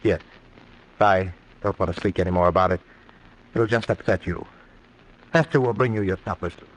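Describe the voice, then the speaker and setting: A man's voice: low-pitched, moderate pace, close to an everyday voice. A man playing a scheming, menacing nephew with false politeness in an old-time radio horror drama. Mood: falsely polite. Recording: noisy old radio recording.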